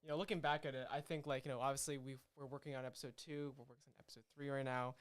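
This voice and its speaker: A man's voice: mid-range voice, little pitch movement, smooth. A man, co-creator of the show, chatting casually with many fillers and restarts. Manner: fast, rambling, many fillers. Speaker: co-creator and host. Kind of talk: casual chat.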